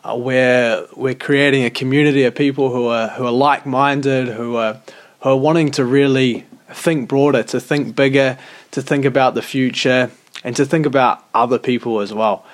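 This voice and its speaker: A young man co-hosts a laid-back podcast in a reflective tone. He speaks at a middle pitch, and he often repeats a word before he carries on. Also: normal speed. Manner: relaxed, reflective, repeats words. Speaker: podcast co-host. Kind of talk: casual podcast chat.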